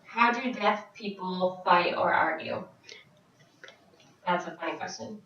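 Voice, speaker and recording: A woman voicing a signed question-and-answer vlog as an interpreter, speaking in short, halting phrases with frequent restarts. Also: on the low side, fairly bright tone. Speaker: sign language interpreter voicing a Deaf vlogger. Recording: very echoey, distant, dull sound.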